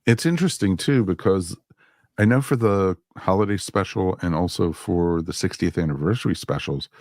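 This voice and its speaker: A man's voice: sits low, normal speed, a little mellow, no room sound, clean studio sound. A man hosting a podcast in a casual, enthusiastic and agreeable way. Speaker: podcast host.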